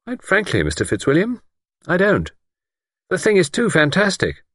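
A man's voice: high voice, huge pitch swings, normal speed, a bit bright, no echo, pitched above the performer's own voice, exaggerated voice. A man doing a dry, superior country doctor. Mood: skeptical. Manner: dry, condescending, skeptical.

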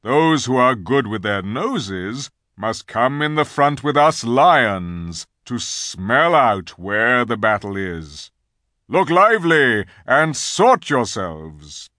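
A man voicing a noble lion leader in a storybook, loud, deliberate and commanding. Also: medium pitch, very animated, no room sound, studio quality, pitched above the performer's own voice, full character voice.

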